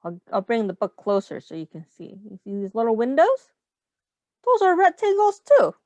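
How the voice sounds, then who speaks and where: A woman reading a picture book aloud to young children as a teacher, explaining simply and pausing to ask questions. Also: fairly high pitch, huge pitch swings, mellow, dry recording, dull audio.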